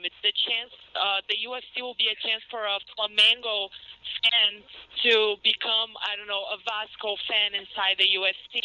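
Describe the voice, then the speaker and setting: A man interpreting haltingly on a conference call, over a telephone line. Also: high voice, clear, resonant tone. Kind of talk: hesitant spoken interpretation. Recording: dull phone line.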